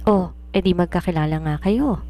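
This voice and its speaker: A woman's voice: lowish voice, moderate pace, dark tone, lightly acted. A woman with a Filipino accent reading a cheerful, easygoing older male judge in a storybook. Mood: cheerful, approving. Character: genial older male judge, master of the house.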